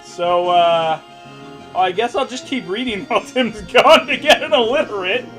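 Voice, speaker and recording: A man's voice: high-pitched, normal speed, fairly bright tone, soft background music. A young man reading aloud and commenting casually, sarcastic and sweary, often breaking off to joke. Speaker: co-host reading fan fiction aloud. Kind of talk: casual mocking read-aloud and commentary over a game.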